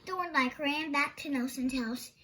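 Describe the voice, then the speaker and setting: A woman's voice: high voice, measured pace, fairly bright tone, slight background noise. A woman storyteller reading a children's picture book aloud expressively.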